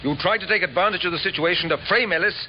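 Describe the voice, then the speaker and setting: Actor as a firm, higher-voiced police inspector. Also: male voice, close to an everyday voice. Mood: stern, accusing. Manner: measured, polite, authoritative. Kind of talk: 1950s radio drama acting. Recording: noisy, muffled 1950s radio recording.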